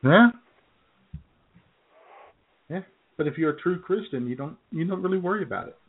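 A man's voice: on the high side, animated, moderate pace, breathy edge. A man co-hosting a comedy podcast in dry, sarcastic casual chat, heard through a tinny, phone-like recording. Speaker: podcast co-host.

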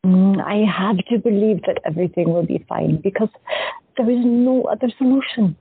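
A woman playing a gentle, hesitant young artist in an audio drama. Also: mid-range voice, moderate pace, husky, dry recording. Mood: tender, wistful. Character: soft-spoken young woman artist, wistful. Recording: dry, tinny phone-like sound.

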